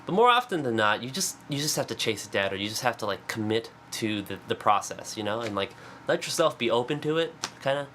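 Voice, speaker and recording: A man's voice: mid-range voice, big pitch swings, fast talker, pushed and bright, slight background noise. A congested man with a cold, chatting casually and self-deprecatingly about songwriting with lots of fillers. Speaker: singer-songwriter. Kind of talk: casual vlog talk. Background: acoustic guitar.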